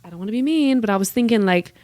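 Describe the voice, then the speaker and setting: A woman's voice: mid-range voice, lively intonation, very fast, a little mellow, dry recording. A woman in her late twenties hosting a casual solo podcast, chatting in a rambling, self-deprecating way with lots of "like" fillers. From United States. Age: 20s. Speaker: podcast host.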